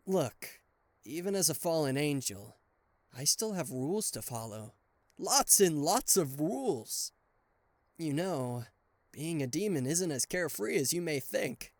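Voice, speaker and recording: A man playing a charismatic, flustered king of hell as a boyfriend in an ASMR roleplay audio drama, with a theatrical, halting delivery. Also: on the high side, big pitch swings, no room sound. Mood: exasperated, lovestruck. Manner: theatrical, hesitant stammering, exasperated sighs.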